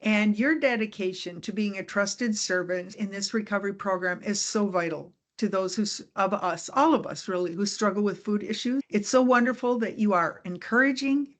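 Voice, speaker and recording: An upbeat woman hosting a podcast at a mid-range pitch. She speaks in an encouraging, chatty way and drops quick asides as she talks. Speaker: podcast host. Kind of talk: casual podcast interview.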